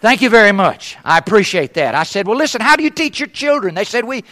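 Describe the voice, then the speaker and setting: Missionary guy preaching, slightly high voice, punchy then drops real quiet at the ends, asks the crowd questions. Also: big pitch swings, quick pace. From East Africa. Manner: emphatic, trails off to a hush at phrase ends. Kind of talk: sermon at a conference.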